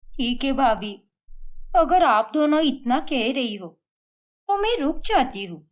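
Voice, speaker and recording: A woman's voice: high-pitched, big pitch swings, takes it slowly, heavily acted. A woman voicing a young attention-seeking sister-in-law in a cartoon story, whiny and wounded. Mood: sweet.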